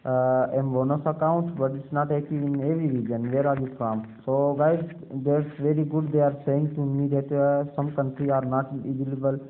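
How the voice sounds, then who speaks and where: A man from Pakistan walking viewers through a screen-recorded tutorial, halting with many fillers and addressing them as guys. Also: mid-range voice, flat delivery. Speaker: video creator. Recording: tinny phone-like sound.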